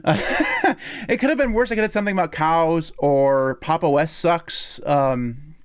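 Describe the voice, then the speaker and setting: A man's voice: fairly high pitch, moderate pace, clean tone. Male podcast host, chatty, giggly, rambling. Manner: laughs often, rambling with restarts. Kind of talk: casual podcast chat.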